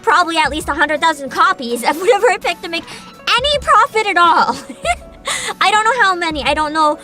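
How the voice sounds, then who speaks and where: A woman's voice: extremely high pitch, big pitch swings, brisk, bright, forceful tone, faint music behind. A woman chatting casually as a livestream host, informal and rambling, with a giggly way of talking. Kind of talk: casual livestream chat, explaining and riffing to viewers.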